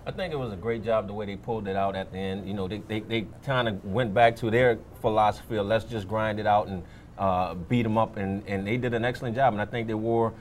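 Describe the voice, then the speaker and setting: A man's voice: lowish voice, fairly even intonation, fairly quick, slight background noise. A man on a sports panel giving informal, conversational analysis of the game. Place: on location at a restaurant.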